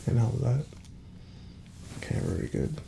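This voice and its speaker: A very creaky, soft male voice that often slips toward a whisper, playing a doctor in an ASMR exam. He murmurs gently and reassuringly to the listener in short, unhurried phrases. Loud background noise sits behind him. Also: medium pitch, animated. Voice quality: soft-spoken, breathy, often dropping to a whisper. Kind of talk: ASMR medical exam roleplay.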